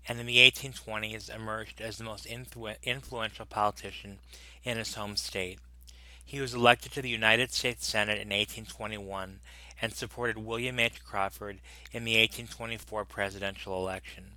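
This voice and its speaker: A man reads an encyclopedia article aloud at a steady, unhurried clip, sometimes tripping over a word and going back to fix it. His lowish voice has a bright, cutting edge. The recording is close and dry, with almost no room sound. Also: no echo, low-quality recording. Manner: steady reading with occasional stumbles and self-corrections. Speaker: volunteer reader of an encyclopedia article. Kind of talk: plain read-aloud narration.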